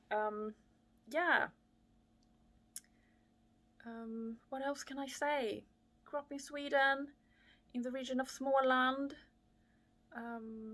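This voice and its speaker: A woman's voice: mid-range voice, a bit bright. A woman in her thirties from Chile, talking about her life in a reflective way, with many fillers, in a living room. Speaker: vlogger. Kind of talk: casual personal talk to camera. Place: living room with fireplace, indoors. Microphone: camera on a desk at close range.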